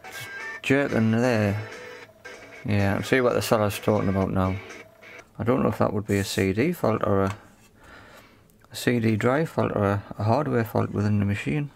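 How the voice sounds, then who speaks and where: A man's voice: on the low side, moderate pace, gravelly, pauses often, soft background music. A man giving casual, unscripted commentary on a hands-on repair, thinking aloud in short phrases. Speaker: host of an electronics repair video.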